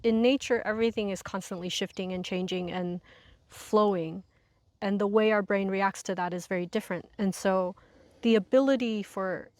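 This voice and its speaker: A woman's voice: medium pitch, steady tone, moderate pace, a little mellow, no echo. A woman speaking as an interview guest and instructor, explaining ideas conversationally and thinking aloud in long sentences.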